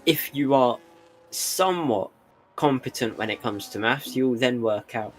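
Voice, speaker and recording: A man's voice: mid-range voice, measured pace, a little hiss or hum, rough recording. A tired man with a sore throat doing live esports race commentary, dry and wry between calls. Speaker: sim racing commentator.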